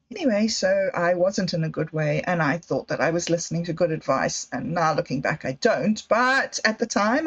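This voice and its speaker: A middle-aged woman hosting a livestream, telling personal stories in a slow, thoughtful, reflective way. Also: fairly high pitch. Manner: slow, thoughtful storytelling with emotional quotes. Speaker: livestream host. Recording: dull lo-fi livestream audio.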